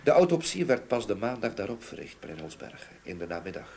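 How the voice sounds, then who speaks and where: Low-voiced, haunted grieving gentleman. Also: big pitch swings, fast talker, vocal fry. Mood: reluctant, troubled. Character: grieving, haunted older gentleman seeking revenge for murdered niece. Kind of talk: Dutch radio play acting. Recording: old radio play recording, background noise.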